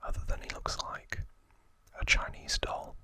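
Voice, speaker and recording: A man whispering softly in a breathy, unhurried ASMR style as he explains trivia informally, pausing between short phrases. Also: dry recording. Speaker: ASMR video host.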